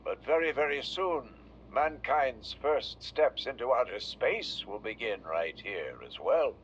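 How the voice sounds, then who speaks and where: An actor playing a visionary Soviet official in a video game, speaking formally and grandly. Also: male voice, mid-range voice, expressive, a little slow, natural voice. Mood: formal, proud. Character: visionary Soviet official congratulating a new deputy. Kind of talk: video game recorded-message acting. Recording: muffled audio with faint noise.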